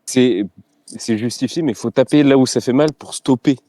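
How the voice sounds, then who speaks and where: A man speaking as a riot police officer in a debate, talking frankly and haltingly and often repeating phrases as he searches for words. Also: medium pitch, dark tone, no echo.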